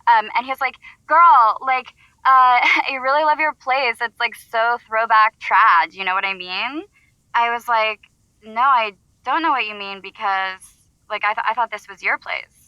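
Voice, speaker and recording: A woman's voice: on the high side, normal speed, clean tone, dry recording, lo-fi sound, lightly acted. An actress voicing a giddy, ditzy young influencer calling a radio show, gushing in Valley-girl style. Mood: giggly, oversharing. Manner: gushing Valley-girl talk, giggly, breathless oversharing. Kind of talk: audio drama phone-in.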